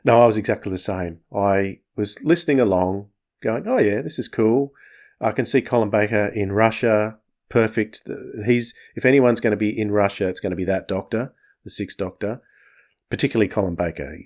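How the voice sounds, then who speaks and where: Low-voiced man hosting casual chat. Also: normal speed, darkish tone. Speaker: podcast host.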